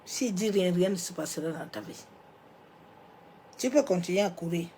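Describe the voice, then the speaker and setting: A woman's voice: fairly low pitch, lively intonation, moderate pace, slight background noise. Woman pastor with a cold, preaching. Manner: swings from loud emphatic declarations to soft near-whispers; preaching with rhetorical asides and interjections. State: has a cold, coughing. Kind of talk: sermon.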